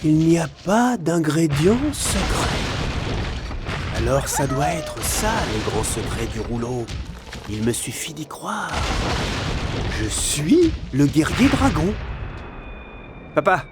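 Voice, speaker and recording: A man playing an eager, boyish young panda hero in a video game, enthusiastic and expressive. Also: fairly high pitch, takes it slowly, long pauses, over loud music. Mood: excited, resolute. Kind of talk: video game voice acting, narration and dialogue.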